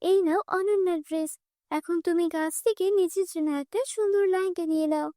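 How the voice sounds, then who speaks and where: A woman voicing a kind, reassuring magical fairy in a children's cartoon, speaking in a gentle, instructive way. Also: very high voice, fairly even intonation, brisk, dry recording, clean studio sound.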